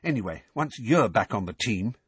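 A man's voice: fairly low pitch, very animated, normal speed, a bit bright, breathy edge, dry recording, heavily acted. A man voicing a plucky, matter-of-fact younger teenage girl in an audiobook, offhand and confident.

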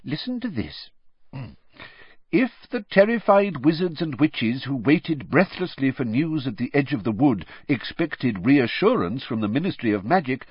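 A man reading an audiobook, voicing a kind, tired middle-aged father who speaks quietly and heavily. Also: on the high side, husky, no room sound, voice pushed up. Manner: quiet, soothing, heavy. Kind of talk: audiobook character voice. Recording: dull dry lo-fi recording.

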